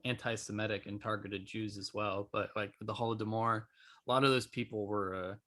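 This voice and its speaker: Lower-voiced man chatting as podcast co-host. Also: fairly even intonation, normal speed, pushed and bright. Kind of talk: casual discussion.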